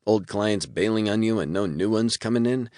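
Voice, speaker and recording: A man's voice: fairly low pitch, normal speed, a little mellow, no room sound, studio quality, voice pushed up, close to an everyday voice. A man voicing a breezy, folksy male demon who runs his business coldly and calls people Hoss.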